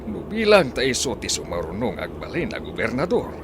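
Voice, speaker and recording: An actor with an Ilocano accent playing a principled, weary but determined judge in a radio drama, earnest and deliberate. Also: male voice, mid-range voice, huge pitch swings, background noise.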